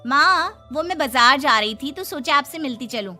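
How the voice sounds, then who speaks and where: A woman's voice: high-pitched, lively intonation, moderate pace, sharp tone, faint music behind, full character voice. A woman voicing a dismissive, brusque married sister-in-law in a family story. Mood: curt. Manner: brusque, disapproving.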